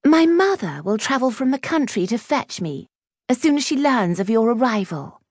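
A haughty schoolgirl of high birth, acted by a woman narrator, speaks in a raised, swooping voice. She is prim, spiteful and cutting, in storybook style. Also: sing-song, normal speed, a little mellow, dry recording, studio quality. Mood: disdainful. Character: haughty, spiteful young lady of quality, a schoolgirl.

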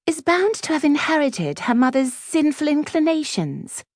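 A woman's voice: high voice, very animated, moderate pace, a little mellow, dry recording, clean studio sound, pitched above the performer's own voice. A woman voicing a spiteful, snobbish young lady of quality in an audiobook, prim and cutting. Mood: coldly superior.

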